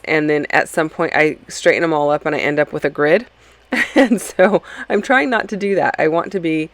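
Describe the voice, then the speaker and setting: Woman talking through her craft project, kinda sniffly from a cold, lots of ums and restarts. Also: sits low. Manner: rambling, chatty, many ums and self-corrections. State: slightly stuffy from a cold. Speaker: scrapbooking channel host. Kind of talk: casual process-video voice-over.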